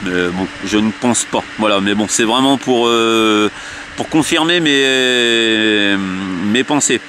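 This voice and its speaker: A man's voice: lowish voice, a bit bright. A man chatting casually as an amateur radio operator, often repeating his words. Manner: repeats himself. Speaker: amateur radio operator filming an antenna test. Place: outdoors at an elevated open spot. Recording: noisy outdoor ambience.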